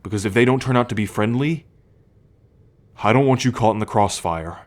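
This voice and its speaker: A young man playing a troubled, protective male werewolf in an ASMR roleplay, speaking in a measured, halting, confiding way. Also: on the low side, close to an everyday voice. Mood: earnest.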